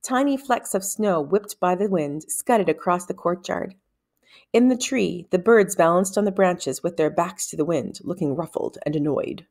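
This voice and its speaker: A woman reads fiction aloud in a slightly low-pitched voice. She phrases deliberately, giving the narration the expressive shape of storybook reading.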